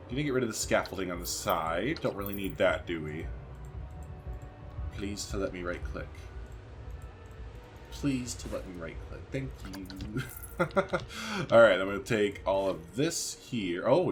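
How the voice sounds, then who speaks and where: A man hosts a casual gaming video in a fairly low voice that swings up and down a lot. He thinks aloud in slangy, informal phrases as he plays. A faint layer of ambient noise sits behind his commentary. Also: a bit bright. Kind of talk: casual let's-play commentary.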